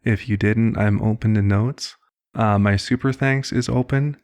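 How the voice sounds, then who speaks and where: A man's voice: fairly low pitch, fairly even intonation, unhurried, darkish tone. A man who makes ASMR audio, talking casually to listeners in a sign-off. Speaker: ASMR channel creator.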